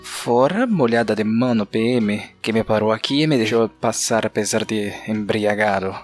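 Low-pitched male voice over music, an actor playing an insecure, embittered Bolivian man in an audio drama. He has a Spanish accent and mutters his bitter, lustful thoughts like a self-pitying confession. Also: moderate pace, close to an everyday voice. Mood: bitter, resigned. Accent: Spanish-accented Portuguese, Bolivian. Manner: self-pitying inner monologue mixing in Spanish words. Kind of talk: audio drama character acting. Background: music bed.

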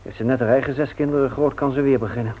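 An actor playing a worried, considerate grown son in a radio play, speaking earnestly and politely. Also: male voice, medium pitch, steady tone, fast, lightly acted. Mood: concerned. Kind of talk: old radio play acting. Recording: dull, faintly noisy old radio recording.

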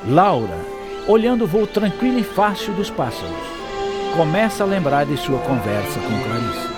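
A man with a Brazilian accent narrating a scripted radio drama as an expressive storyteller. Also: fairly high pitch, normal speed. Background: music.

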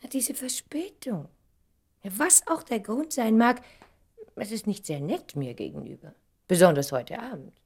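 An actress playing a proud, teasing, sophisticated stage star in a radio comedy drama, with an arch, breathy, theatrical delivery. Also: female voice, medium pitch, expressive, measured pace, darkish tone. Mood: miffed.

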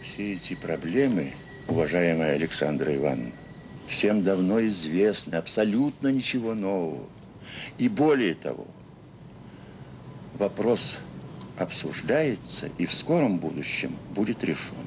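A man's voice: lively intonation, measured pace. A man acting in an audio drama as a self-assured, sarcastic education official with an oratorical, condescending manner. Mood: condescending, brisk. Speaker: conference speaker. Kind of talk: radio drama acting, formal speech. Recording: noisy 1980s audio play with rumble.

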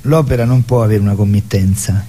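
Man, fairly low voice, soft dark tone, assertive theatre interviewee, some background noise. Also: brisk. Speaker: theatre artist being interviewed. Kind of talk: conversational interview discussion.

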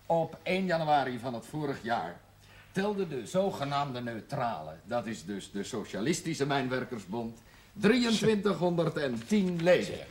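A man's voice: medium pitch, very animated, slight background noise. A man playing an earnest speaker in a television drama, delivering figures formally and emphatically. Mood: earnest, emphatic. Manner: emphatic, formal, deliberate enumeration. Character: earnest man reporting mineworkers' union figures in a speech.

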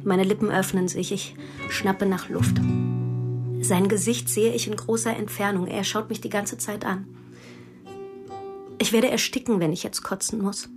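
A woman's voice: mid-range voice, fairly even intonation, unhurried, pauses often, over music, natural voice. An actress playing a troubled young woman in a radio drama, narrating her diary in an intimate, restrained way. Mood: numb, intimate. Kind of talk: radio drama monologue and dialogue.

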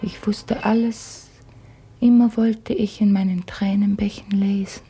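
An actress playing an enigmatic, devoted young woman, reciting surreal love poetry in a radio drama, with breathy phrase endings. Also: mid-range voice, fairly even intonation, measured pace, a little hiss or hum, lightly acted. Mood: dreamy, intense. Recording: loud music under the voice.

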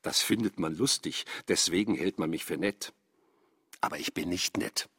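An actor playing an elderly, grumbly but patient professor with a husky voice and brisk, wry manner in a radio drama. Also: male voice, sits low, a bit bright, no echo. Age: older.

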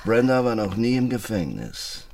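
An actor playing a threatening robber in a German radio crime drama, sardonic and pushy, with a husky voice. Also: male voice, on the low side, big pitch swings, normal speed, darkish tone. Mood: pressing, heated. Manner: sardonic, pressing, familiar. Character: needling, threatening robber with jailed girlfriend.

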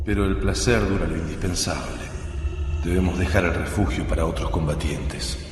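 Actor como combatiente reflexivo, voz gravísima con eco. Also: male voice, deep voice, normal speed, lightly acted. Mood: solemn, hopeful. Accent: Argentine. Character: Juan, reflective male resistance fighter telling his story. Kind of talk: radio drama inner monologue. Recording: echoey long reverb, music underneath.

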